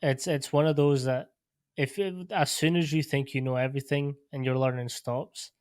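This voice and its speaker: A man hosts a relaxed podcast conversation, speaking at a middle pitch with little rise and fall. His talk is loose and informal, full of fillers, and the recording is dry and close with no room sound. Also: normal speed, smooth, dry recording. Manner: relaxed, leans on "like" and "sort of". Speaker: podcast host. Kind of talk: casual podcast interview.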